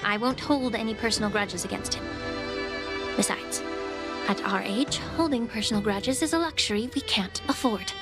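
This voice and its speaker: A woman voices a gracious young lady in an anime game. Her voice is high, and she speaks politely, with measured, diplomatic composure over background music. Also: close to an everyday voice. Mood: composed. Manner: polite, measured, gently steering. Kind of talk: anime video game voice acting.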